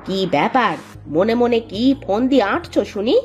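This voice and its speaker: Actress doing cartoon village wife, suspicious scold, soft-hearted, dramatic, highish pitch, wide swings, light music behind. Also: female voice, expressive, a little fast, muffled recording, natural voice. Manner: sharp-tongued, questioning, dramatic. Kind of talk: animated cartoon voice acting in Bengali moral story.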